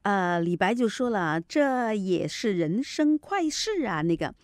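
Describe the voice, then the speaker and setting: A woman's voice: mid-range voice, big pitch swings, unhurried, no room sound, studio quality. A woman teacher on a radio programme, explaining pronunciation in a didactic way, repeating points and demonstrating sounds. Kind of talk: explanatory language lesson on pronunciation. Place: radio studio, dry close sound.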